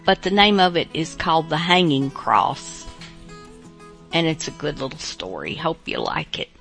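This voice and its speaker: A woman's voice: deep voice, big pitch swings, normal speed, creaky, loud music behind the voice. A woman hosting a radio show, chatting casually in a hesitant, rambling way.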